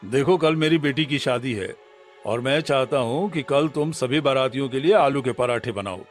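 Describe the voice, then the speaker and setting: A man's voice: medium pitch, normal speed, soft background music, lightly acted. A man playing a middle-aged village headman, an authoritative father of the bride, in a Hindi cartoon story. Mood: businesslike.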